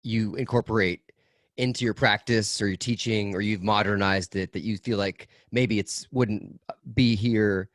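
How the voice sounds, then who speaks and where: Male webinar moderator, low voice, dry sound, hesitant and filler-heavy. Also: fairly even intonation, dry recording. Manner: halting, many "uh" and "um" fillers. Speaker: panel moderator. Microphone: video call.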